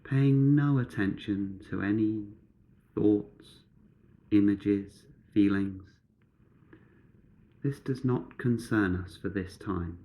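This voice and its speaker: A man guiding a meditation in measured, instructive short phrases with pauses between them. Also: lowish voice, dull audio. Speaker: meditation guide.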